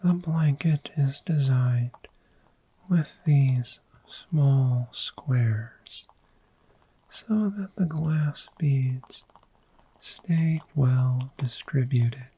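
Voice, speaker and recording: A performer voicing a friendly, soothing dragon in an ASMR roleplay, speaking in a gentle, breathy whisper and lingering on repeated words. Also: mid-range voice, extremely slow, heavily acted, low-quality recording. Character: friendly soothing dragon therapist showing a cozy hoard.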